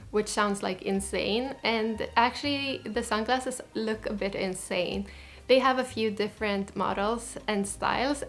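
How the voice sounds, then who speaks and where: Chatty woman vlogging. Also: mid-range voice. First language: Swedish. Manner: enthusiastic, chatty, with frequent restarts. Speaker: fashion vlogger. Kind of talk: casual chat to camera.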